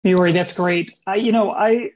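A man's voice: high-pitched, steady tone. A man co-hosting a design podcast, speaking with praise and frequent restarts. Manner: warm praise, halting restarts. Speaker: podcast co-host. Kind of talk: podcast interview.

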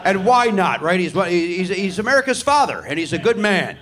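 A man works a theatre crowd from the stage, his speech a little higher than most and swinging widely up and down. He riffs and jokes with sarcastic, profane asides. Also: big pitch swings, normal speed, fairly bright tone. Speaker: comedy podcast host. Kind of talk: live stand-up banter with the audience. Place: theatre stage before a live audience.

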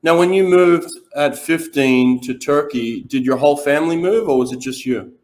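A man's voice: on the high side, normal speed, darkish tone. Casual male podcast host. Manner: loose, stumbling questions with fillers. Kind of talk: casual interview.

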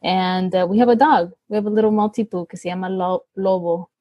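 A woman's voice: lowish voice, steady tone, brisk, lo-fi sound. A woman in her thirties from Los Angeles, a guest educator speaking in an easygoing, friendly way and mixing in Spanish. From Los Angeles, United States. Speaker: guest, sexuality health educator. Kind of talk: interview.

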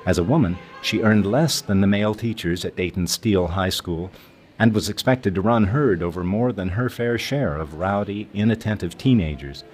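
A man's voice: on the low side, moderate pace, darkish tone, a little hiss or hum. A man narrating a scripted documentary as its narrator and interviewer.

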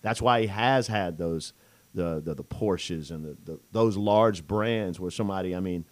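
Low-voiced rambling talk radio host. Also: male voice, normal speed, dry recording. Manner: rambling, joking, many fillers and restarts. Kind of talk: casual interview chat.

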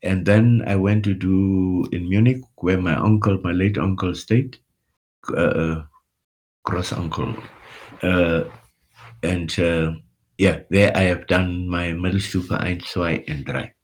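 Older man, Afrikaans speaker, very deep husky voice, halting interview answers with frequent restarts. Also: fairly even intonation, a little mellow, poor audio. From Namibia. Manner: halting, full of restarts and repeated words. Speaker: interviewee, former liberation activist and tour guide.

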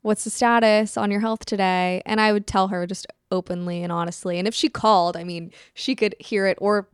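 A young woman co-hosting a podcast, telling stories in an animated, chatty way. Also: mid-range voice, brisk, clean tone, dry recording, clean studio sound. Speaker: podcast co-host. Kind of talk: casual chat.

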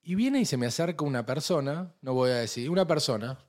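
Animated Argentine man telling a story. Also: a little fast. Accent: Argentine Spanish. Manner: animated storyteller, jumps into high put-on voices for quoted people. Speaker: podcast co-host. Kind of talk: casual chat.

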